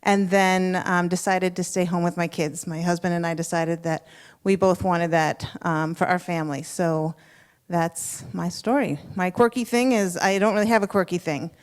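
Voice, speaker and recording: A woman's voice: fairly low pitch, steady tone, moderate pace, smooth. A middle-aged woman giving a plain-spoken, casual introduction of herself to a live audience. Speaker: panelist, accountant. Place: church panel before an audience.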